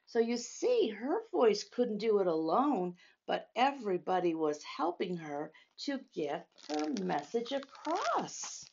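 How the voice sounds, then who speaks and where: A woman hosts a children's storytime at an unhurried, very slow pace. She speaks straight to her young listeners, asking them questions and leaning on key phrases. Her pitch is average for a woman, and the recording sounds a little dull and lo-fi. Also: sing-song, creaky, a little mellow, breathy edge. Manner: addresses children directly, with questions and emphatic phrasing. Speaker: children's storytime host at a church.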